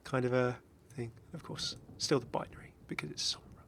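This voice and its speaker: A man hosting a gaming video with casual, excited reaction commentary in a rambling, exclamatory way. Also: mid-range voice, fairly quick, in a whisper. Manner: rambling, exclamatory, chuckling asides.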